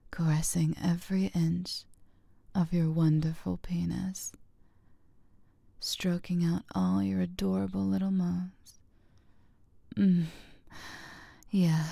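A woman whispers close to the microphone in a breathy, husky hush, coaxing and unhurried. Her voice sits low and mellow, with a gravelly fry when she hums between phrases. The sound is dry and intimate, with no room around it. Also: dry recording. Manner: slow, drawn-out phrasing with hums. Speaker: audio roleplay performer. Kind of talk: whispered intimate audio roleplay.

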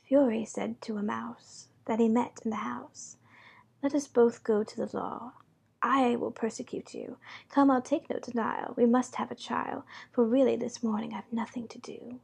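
A woman voicing a touchy, solemn mouse in a storybook, grave and sharp in manner. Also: on the high side, steady tone, moderate pace, vocal fry, breathy edge, heavily acted. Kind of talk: storybook character voice.

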